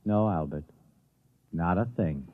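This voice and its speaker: A man's voice: low-pitched, takes it slowly, phone-quality sound, heavy background noise. A man playing a weary, resigned boss with terse replies in a 1950s radio drama, on an old recording. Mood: resigned, glum.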